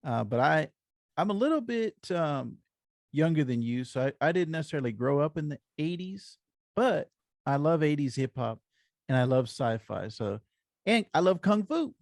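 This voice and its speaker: Creaky-voiced man hosting a casual podcast. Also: on the high side, expressive, moderate pace, darkish tone, dry recording, clean studio sound. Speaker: podcast host. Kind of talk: casual comics podcast chat.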